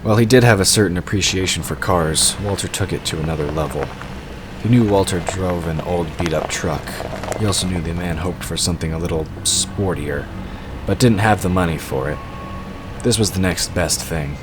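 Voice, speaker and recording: Male narrator, extremely deep register, scripted fantasy storytelling, noticeably noisy ambient bed. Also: moderate pace. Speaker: narrator of an audio drama. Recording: clearly noisy background ambience.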